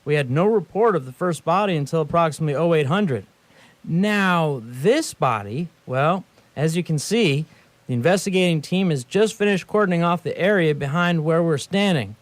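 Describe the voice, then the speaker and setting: An actor playing an apologetic, matter-of-fact police sergeant, speaking formally with slight hesitation in a radio play. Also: male voice, on the high side, animated, normal speed, no room sound, natural voice. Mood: apologetic, businesslike.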